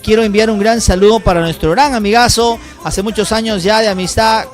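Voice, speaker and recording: Male live-stream host: high voice, quick salesy patter, faint music behind. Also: fairly even intonation. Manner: rapid, upbeat, salesy, many fillers. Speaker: livestream host. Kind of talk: live broadcast shout-outs and sponsor ad.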